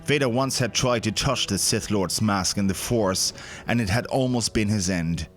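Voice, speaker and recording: Low-voiced male audio drama narrator. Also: moderate pace. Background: background music.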